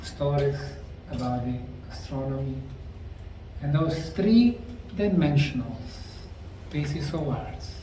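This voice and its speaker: A middle-aged man slowly narrating a documentary on location at ancient ruins, explaining the sites like a tour guide. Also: fairly high pitch, expressive, noisy background. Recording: roomy, distant mic with faint outdoor ambience.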